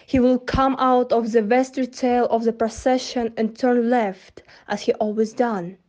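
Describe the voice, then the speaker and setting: A young woman reads literary prose at an unhurried pace. Her voice sits a little high with a mellow tone, and the recording is dull and lo-fi. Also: steady tone. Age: young adult. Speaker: student reader. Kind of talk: reading literary prose aloud. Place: indoor room in front of curtains.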